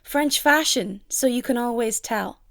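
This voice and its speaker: A woman's voice: on the high side, unhurried, creaky, a bit bright, dry recording, studio quality. A woman playing a prim, self-assured, genteel young lady in a period audio drama. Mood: pleased.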